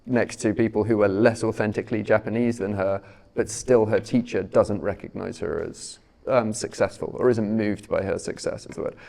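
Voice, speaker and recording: Male interviewer, low gravelly voice, measured, halting phrasing. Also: darkish tone. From Britain. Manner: measured, searching for words, with fillers. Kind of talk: onstage author interview.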